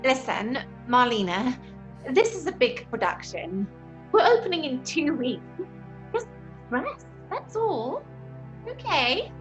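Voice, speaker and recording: A woman's voice: high voice, very animated, slow, pauses often, highs cut off, soft background music, full character voice. A woman playing a soothing, fussy theatre actress in an audio horror drama, theatrical and placating.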